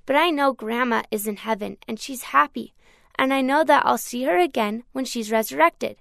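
A woman reading a storybook, voicing a gentle, sad little girl in a soft, childlike way. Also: fairly high pitch, moderate pace, vocal fry, no echo, clean studio sound, voice pushed up. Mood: prayerful.

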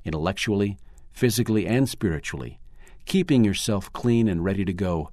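A man reading a first-person article aloud in a measured, earnest way. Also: on the low side, lively intonation, a little mellow, no room sound, clean studio sound. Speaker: narrator.

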